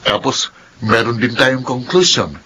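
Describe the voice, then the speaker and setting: A man's voice: medium pitch, steady tone, a little slow, sharp tone, husky, poor audio. An actor playing a young Filipino man, a playfully smug younger brother who probes in a mock-formal way in a radio drama. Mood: teasing, smug.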